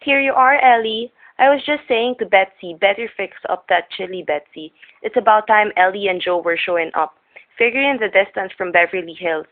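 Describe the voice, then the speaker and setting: A woman's voice: mid-range voice, normal speed, natural voice. An actress playing a chatty, folksy old woman who runs a roadside diner in a radio drama, with homespun, rustic speech. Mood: chatty, nosy. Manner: gabby, homespun, rustic grammar. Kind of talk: old-time radio character acting. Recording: narrow, tinny vintage radio recording.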